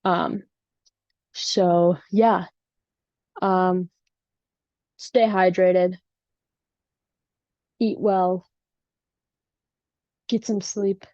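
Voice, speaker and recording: A woman's voice: on the low side, fairly even intonation, drawn-out words, pauses often, a little mellow, no echo. Woman host with a Chicago accent, easygoing solo podcast chatter, recorded in a dry room on a slightly muffled mic. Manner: rambling and chatty, with many ums. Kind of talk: casual solo podcast chat. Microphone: home setup without usual equipment.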